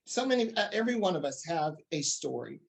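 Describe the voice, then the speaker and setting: A man's voice: fairly high pitch, very animated, brisk, creaky. A man hosting a talk show, interviewing guests and addressing viewers with showman phrases and slogans.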